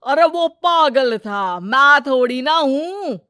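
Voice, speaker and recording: A woman's voice: high voice, animated, slow pace, bright, forceful tone, no room sound, studio quality, full character voice. A woman voicing a greedy, scheming male moneylender in a village moral story cartoon, brusque and bossy. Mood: irritated.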